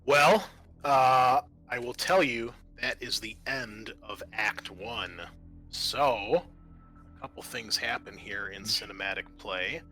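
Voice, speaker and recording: A man hosting as game master, narrating a tabletop roleplaying game in a halting, conversational way. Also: fairly low pitch, pushed and bright.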